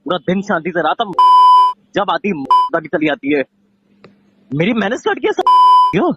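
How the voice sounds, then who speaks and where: Angry high-voiced man on a muffled call. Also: wildly swooping pitch, normal speed. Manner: aggressive, scolding, confrontational. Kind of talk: heated private argument on a call. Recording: muffled low-fidelity phone call recording.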